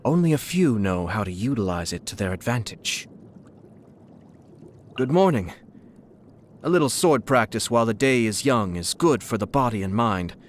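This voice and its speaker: An actor playing a suave young nobleman and scheming clan head in a video game, speaking in a polite, measured, formal and slyly amused manner. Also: medium pitch, lively intonation, a little hiss or hum, close to an everyday voice. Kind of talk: scripted video game character lines.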